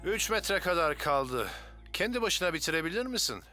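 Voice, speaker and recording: A man's voice: sits high, very animated, moderate pace, bright, forceful tone, soft background music, full character voice. A man voicing a kind, capable firefighter hero in a cartoon dub, helpful and encouraging. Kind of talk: animated cartoon dub acting.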